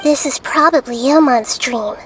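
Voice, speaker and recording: A woman voicing a gentle, wise, childlike girl deity in a video game, with a soft girlish voice and calm, thoughtful, measured delivery. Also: sits high, background noise. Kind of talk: video game character dialogue.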